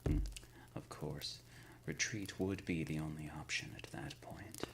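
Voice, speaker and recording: A man playing a courteous, shrewd, ancient supernatural lord in an ASMR roleplay, speaking softly and formally, often near a whisper. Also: very deep voice, fairly even intonation, creaky, heavily acted. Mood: attentive, musing. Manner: formal, measured, erudite wording with deliberate pauses. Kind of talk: ASMR roleplay, intimate scripted monologue.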